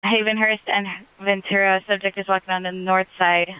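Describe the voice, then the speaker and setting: Lady police dispatcher on the radio, super clear n bright, talks quick and clipped. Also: mid-range voice, flat delivery, no echo, low-quality recording. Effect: police radio transmission.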